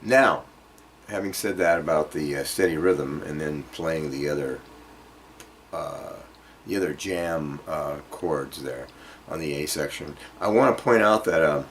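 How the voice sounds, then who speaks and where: A man giving an informal guitar lesson, explaining things directly to the learner in a halting, conversational way. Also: extremely low pitch, slight background noise. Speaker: guitar teacher. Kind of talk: informal instructional music lesson to camera.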